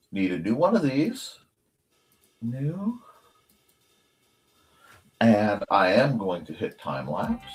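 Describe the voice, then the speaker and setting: Slow-paced man with a lively, swinging pitch. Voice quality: speech impediment. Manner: muttering to himself, thinking aloud in fragments. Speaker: livestream host and artist. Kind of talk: casual livestream chat while drawing.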